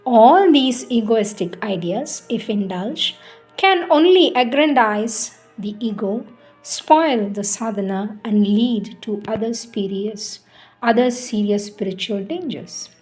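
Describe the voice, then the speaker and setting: A woman giving a solemn devotional reading of spiritual teachings, deliberate and emphatic, pausing between phrases. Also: a little high, animated, a little mellow, faint music behind.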